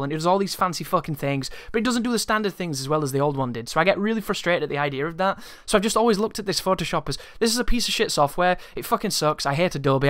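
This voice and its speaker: A man's voice: a little high, fairly bright tone, dry recording. A man telling a casual, rambling story to his viewers in an exasperated, sweary way, close to the microphone. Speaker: YouTuber.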